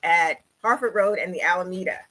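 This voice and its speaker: A cheerful woman from Baltimore chatting as an interview guest, quick to chuckle. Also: on the low side, pushed and bright. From Baltimore, United States. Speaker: strategy consultant, show guest. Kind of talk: conversational interview.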